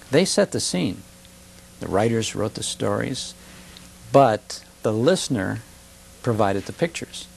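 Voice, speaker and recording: A man's voice: mid-range voice, creaky, darkish tone. An American man in his fifties speaks as an interview guest in fluent, nostalgic storytelling, using short emphatic phrases and rhetorical questions. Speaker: guest, old-time radio broadcaster and enthusiast. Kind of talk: nostalgic conversational interview talk. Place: television studio. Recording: older TV broadcast with faint hiss and hum.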